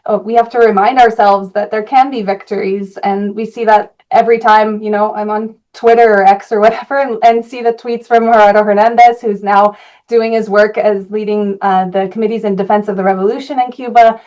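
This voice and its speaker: Woman from Colorado, earnest activist guest, mid pitch, thoughtful pauses. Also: steady tone, a little mellow, poor audio. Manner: earnest, with frequent "uh" fillers. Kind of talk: interview answers.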